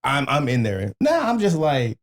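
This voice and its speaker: A man's voice: mid-range voice, wildly swooping pitch, normal speed. A man hosting a podcast in casual banter, talking informally with slang and swearing. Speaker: podcast host.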